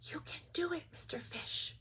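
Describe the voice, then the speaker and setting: A kind young lady fish speaks only in a soft, breathy, unvoiced whisper, as a woman puts her on. She is hushed and gentle, the voice of a storybook friend. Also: moderate pace, close to an everyday voice.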